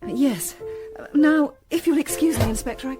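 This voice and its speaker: A woman's voice: high voice, brisk, music in the background, close to an everyday voice. A woman playing a polite but uneasy headmaster's wife in a radio drama, flustered and guarded. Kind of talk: full-cast radio drama acting.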